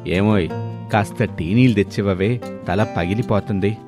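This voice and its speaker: A man's voice: on the low side, normal speed, darkish tone, faint music behind, pitched below the performer's own voice, natural voice. A man voicing an exasperated, grumbling middle-aged husband, plaintive and henpecked, in a cartoon moral story. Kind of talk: dramatic Telugu moral story cartoon dialogue.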